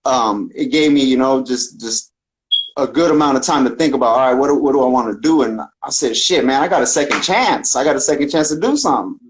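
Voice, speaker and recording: A man's voice: mid-range voice, a little fast, background noise. A man from the Bay Area with a Northern California accent, telling his story casually and earnestly in loose street slang. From San Francisco Bay Area, United States. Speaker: podcast guest, talkbox musician.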